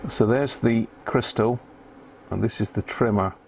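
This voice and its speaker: A man's voice: medium pitch, measured pace. A man giving casual live commentary on an electronics repair, muttering to himself as he concentrates on fiddly work. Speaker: amateur radio hobbyist hosting a live repair stream. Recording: clear background noise.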